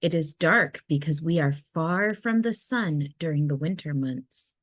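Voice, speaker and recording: A woman voicing a gentle, reassuring mother polar bear from a storybook, soothing and unhurried. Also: low-pitched, expressive, no echo, studio quality. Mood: calm, reassuring.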